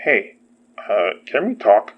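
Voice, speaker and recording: A man playing a wary, loyal friend in a horror audio drama, gravelly and dry in manner. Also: on the low side, sing-song, slow pace, noisy background. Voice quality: gravelly, husky. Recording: slightly dull sound.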